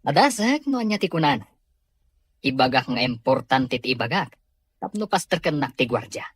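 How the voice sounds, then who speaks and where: A man with a Filipino accent playing a scheming, hot-tempered, money-hungry schemer in a radio drama, with a husky voice. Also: medium pitch, sing-song, normal speed, no room sound. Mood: menacing. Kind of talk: Ilocano radio drama acting.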